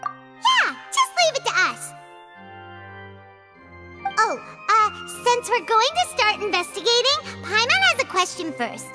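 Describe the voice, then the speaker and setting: A tiny, cheeky fairy companion from a video game. The voice is squeaky and extremely high, bouncing all over the place with childlike excitement. Music plays clearly underneath. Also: female voice, takes it slowly, pauses often, full character voice. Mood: chirpy. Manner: refers to herself by name, exclaiming. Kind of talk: animated video game voice acting.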